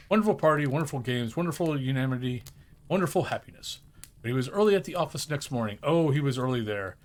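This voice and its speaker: Male narrator, storybook reading, mid-pitched, highly varied intonation.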